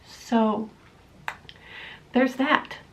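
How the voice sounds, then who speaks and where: A woman's voice: on the high side, steady tone, drawn-out words, low-quality recording. A young woman vlogger chatting casually from a room at home, rambling with lots of fillers, sounding stuffy and nasal from allergies. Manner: rambling, many fillers, self-deprecating. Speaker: book vlogger. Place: room at home, not yet set up for filming. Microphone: camera mic, camera propped on books.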